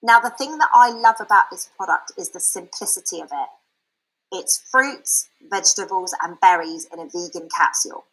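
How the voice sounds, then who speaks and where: A woman giving a frank, chatty personal testimony that turns into a sales pitch, with many fillers and restarts. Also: lively intonation, normal speed, fairly bright tone, low-quality recording. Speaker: speaker sharing a product testimonial.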